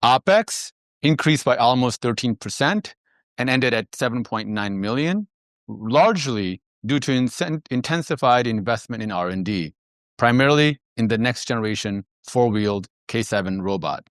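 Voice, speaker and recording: A man speaking as a finance executive on an earnings call, presenting in a measured, businesslike way. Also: mid-range voice, lively intonation, no echo, clean studio sound.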